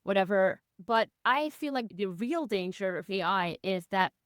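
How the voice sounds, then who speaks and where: A young woman from Belgium, speaking English as a second language, chats as a podcast guest with a smooth, resonant voice. She talks in a lively, animated way, often starting thoughts with "I feel like." The sound is dry and close. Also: medium pitch, a little slow, dry recording. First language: not English. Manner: animated, laughs easily, says "I feel like" often. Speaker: podcast guest, personal brand strategist. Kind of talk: casual interview chat.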